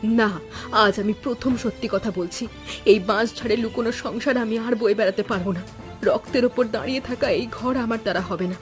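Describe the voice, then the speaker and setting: অভিনেত্রীর কণ্ঠে দুঃখী অথচ দৃঢ় গ্রামের তরুণী বউ. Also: female voice, fairly high pitch, a little fast, close to an everyday voice. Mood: defiant. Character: troubled young village wife, weary but resolute. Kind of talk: melodramatic cartoon dialogue. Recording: background music under the voice.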